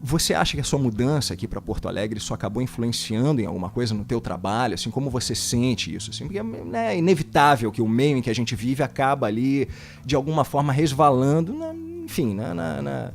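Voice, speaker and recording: A man hosting a casual interview, laid-back and slangy, quick to laugh. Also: mid-range voice, sing-song, creaky, soft background music. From Brazil, from another state. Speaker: podcast host.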